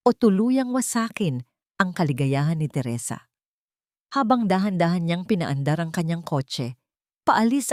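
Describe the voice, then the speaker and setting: Low-voiced Filipino woman narrating, very dry recording. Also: a little mellow, dry recording. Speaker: story narrator. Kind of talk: scripted dramatic audio-story narration.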